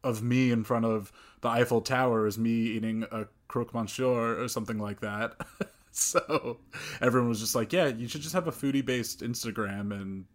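A man's voice: medium pitch, fairly even intonation, moderate pace. A young man hosting a casual podcast chat, laughing often and restarting his sentences. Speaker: podcast host. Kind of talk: casual conversational interview.

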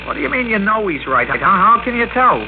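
A man's voice: high-pitched, sing-song, a little fast, gravelly, phone-quality sound, exaggerated voice. A man acting a blunt, bitter, hot-headed worker in an old-time radio drama, griping in slangy talk. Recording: noisy, band-limited old radio recording.

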